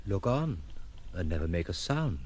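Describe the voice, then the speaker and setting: An actor playing a courteous, detached, melancholy gentleman wanderer in an audio drama, formal and reflective in manner. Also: sits low, very animated, moderate pace, a little hiss or hum. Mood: melancholy reflection. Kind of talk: audio drama acting, first-person reminiscence.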